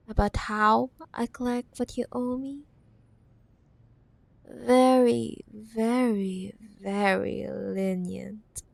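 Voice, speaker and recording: Casting: woman as possessive corporate villainess ex, teasing and condescending, unhurried, intimate ASMR roleplay, very dry recording. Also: mid-range voice, creaky, darkish tone, dry recording. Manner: teasing, condescending, drawn-out words with pet names. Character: possessive, teasing cyberpunk CEO ex-girlfriend villainess. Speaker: audio roleplay voice actor. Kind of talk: ASMR audio roleplay acting.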